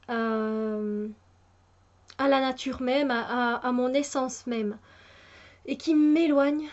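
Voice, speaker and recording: A woman with a fairly high voice talks through a tarot deck in an easy, unscripted way. She hesitates often, restarting phrases and filling gaps, but sounds keen on the subject. The recording is muffled and lo-fi. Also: a little mellow. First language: French. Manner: hesitant, many fillers and restarts, enthusiastic. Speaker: tarot reviewer. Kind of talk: casual spoken product review.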